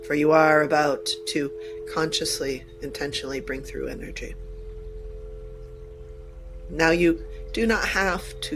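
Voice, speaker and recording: A woman leading a channeled guided meditation in deliberate, halting short phrases with formal wording. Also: deep voice.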